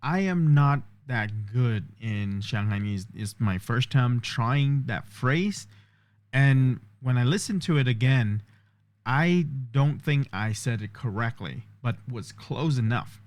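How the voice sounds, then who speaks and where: A man's voice: medium pitch, expressive, takes it slowly, no room sound. A man vlogging a test of an AI voice assistant, explaining step by step and thinking aloud. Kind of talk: casual tech demo commentary.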